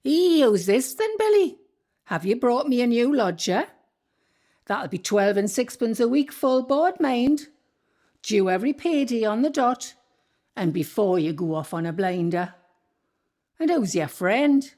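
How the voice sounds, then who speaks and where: A woman acting the sharp-tongued ghost of an old landlady in a radio play, with a Northumbrian accent and a scolding, bossy way of talking. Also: fairly high pitch, sing-song. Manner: scolding, bossy, quick to defend herself.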